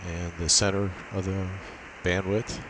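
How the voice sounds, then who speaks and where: A man with a deep, low-pitched voice talks through a technical demonstration. He explains methodically, one step at a time, without a script. A clear layer of hissy noise sits behind his voice. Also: a little slow. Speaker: amateur radio demonstrator. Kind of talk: unscripted technical walkthrough. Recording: steady hiss and noise behind voice.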